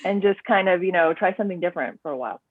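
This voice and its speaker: A woman author talking about her books in a relaxed, rambling way, full of "um" and "like", over a remote call. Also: fairly low pitch, very fast, dry recording. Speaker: author guest. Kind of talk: casual interview chat. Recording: tinny dry phone line.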